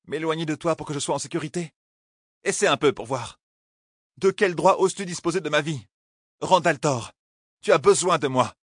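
A man's voice: mid-range voice, fairly quick, long pauses, fairly bright tone, no echo, voice pushed up, lightly acted. Fantasy audiobook role: stubborn, outspoken young woman in love, defiant and teasing, dry recording male narrator performing. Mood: indignant. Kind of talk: audiobook character dialogue.

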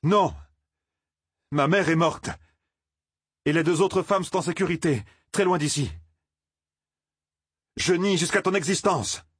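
A man's voice: a little high, measured pace, pauses often, a bit bright, breathy edge, no room sound, clean studio sound, voice pushed up. A man voicing a defiant young male hero who speaks in firm declarations.

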